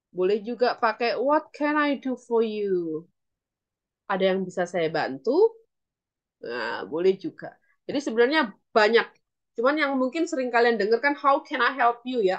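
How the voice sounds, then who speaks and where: A woman's voice: mid-range voice, animated, moderate pace, highs cut off. A woman with an Indonesian accent teaching an online English class as lecturer, instructively repeating and translating example phrases.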